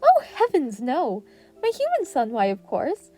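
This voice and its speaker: A woman acts out a small, jovial rat who runs a school in an anime fan story. The voice is lifted high and loud, with polite, exclamatory delivery and mischievous fatherly pride. Soft music plays behind it. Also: wildly swooping pitch, unhurried, full character voice. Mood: amused.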